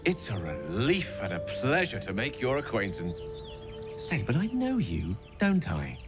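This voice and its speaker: Eloquent gentleman wizard with swooping intonation. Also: medium pitch, wildly swooping pitch, measured pace, music in the background. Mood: courteous, wry. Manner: eloquent, flowery, playful phrasing. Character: genteel, erudite male wizard, courteous, wordy, wryly witty. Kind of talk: theatrical video game dialogue acting.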